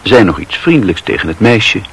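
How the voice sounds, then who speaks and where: A man narrating a literary radio drama in a measured storytelling manner. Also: lowish voice, big pitch swings, breathy edge, heavily acted, rough recording. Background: music.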